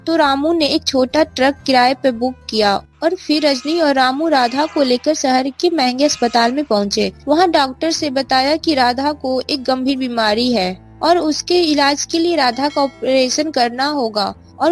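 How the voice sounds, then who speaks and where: A woman with a very high voice narrates a children's bedtime tale from a script. Soft music runs behind her. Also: a little slow, few pauses.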